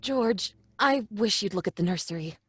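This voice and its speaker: A woman's voice: medium pitch, lively intonation, normal speed, slightly rough, dry recording. An actress playing an anxious wife and mother in a live audio drama, uneasy and pleading, rising to panicked cries. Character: anxious adult wife Lydia, frightened mother. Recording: live stage recording with faint music.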